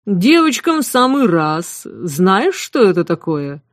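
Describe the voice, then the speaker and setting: A woman's voice: medium pitch, big pitch swings, slow, dry recording, studio quality, exaggerated voice. A woman reading an audiobook voices a huge motherly female cook with a drawling, sing-song folksy manner. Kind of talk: audiobook character voice.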